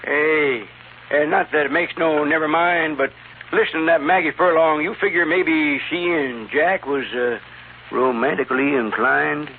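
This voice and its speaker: Folksy, hesitant frontier townsman, slightly high voice, tinny noisy radio recording. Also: fairly even intonation, a little slow. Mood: folksy, musing. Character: folksy, good-natured, rambling old West townsman. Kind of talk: 1950s radio western acting.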